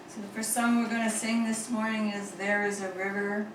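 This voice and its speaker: A woman leading church worship who has a sore, rough throat, singing hymns slowly and speaking softly between songs. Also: medium pitch, level tone. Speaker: worship leader. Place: church sanctuary. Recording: roomy, distant, noisy church recording.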